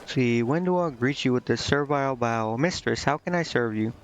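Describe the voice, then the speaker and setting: A man's voice: medium pitch, normal speed, soft background music. Hesitant man streaming a game, slightly dull mic. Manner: hesitant, rambling, muttering to himself. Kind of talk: casual gameplay commentary, reading game dialogue aloud.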